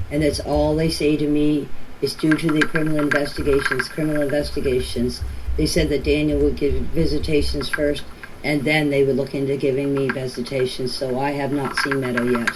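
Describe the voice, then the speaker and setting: Older Maritime Canadian woman, noisy voice clips. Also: deep voice. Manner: repeats and rephrases herself. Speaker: family member speaking about a case. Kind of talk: informal spoken statement answering questions. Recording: noisy amateur voice clips with clicks.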